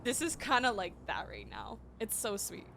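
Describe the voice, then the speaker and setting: A woman streamer giving casual, expressive reaction commentary, thinking aloud in informal speech as she plays. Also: fairly high pitch, normal speed, very noisy. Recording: game music clearly behind voice. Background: video game music and dialogue.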